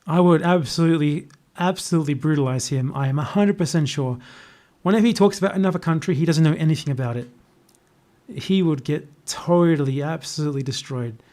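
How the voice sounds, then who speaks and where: The fairly high-pitched Australian guy on a late livestream, fielding chat questions with blunt, sardonic opinions. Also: fairly quick, darkish tone. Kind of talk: casual off-the-cuff political chat.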